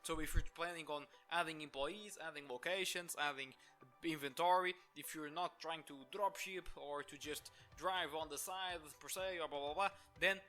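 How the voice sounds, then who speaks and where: A man's voice: a little high, steady tone, normal speed, sharp tone, faint music behind. A man in his twenties with a Portuguese accent, a business owner giving his opinions in a talking-head video with many restarts. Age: 20s. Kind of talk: talking-head monologue to camera.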